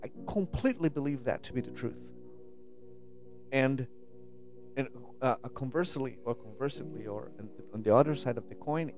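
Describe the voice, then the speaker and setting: A man in his forties, raised in Brazil, thinks aloud in a home studio, speaking close to a stand microphone with a steady mid pitch. He talks earnestly, restarting phrases and tossing in "right?" as he searches for words. Soft music plays clearly underneath. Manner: earnest, searching, many restarts and repeated "right?", gestures a lot. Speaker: spiritual vlogger. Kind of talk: reflective monologue to camera. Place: home studio with guitars and purple lights. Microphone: studio mic on a stand, headphones.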